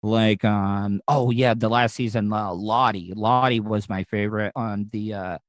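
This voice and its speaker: A man's voice: lowish voice, fairly even intonation, unhurried, no room sound, clean studio sound. An American man hosting a podcast interview, chatting casually in long, rambling asides. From United States. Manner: rambling, talks in looping asides. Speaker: podcast host.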